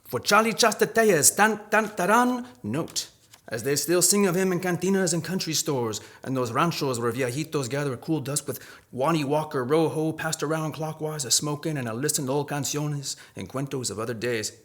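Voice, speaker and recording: Male poet from Arizona, slightly high-pitched, for readings and talk on his own poetry. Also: moderate pace, a bit bright. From Arizona, United States.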